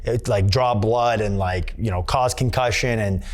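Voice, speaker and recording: Rambling New boxer, filler-heavy. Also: male voice, lowish voice, measured pace. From Massachusetts. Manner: rambling, many fillers and restarts. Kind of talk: candid sit-down interview. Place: boxing gym.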